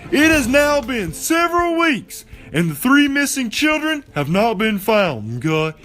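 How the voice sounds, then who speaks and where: An excitable parody news anchor, acted out by a man, with an extremely high voice that swings about. He stretches his words in mock-serious bulletins. The room echoes a little and music plays faintly. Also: a little slow, full character voice. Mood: mock urgent. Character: excitable high-pitched male breaking-news anchor in comedy skits. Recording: roomy echo with faint music.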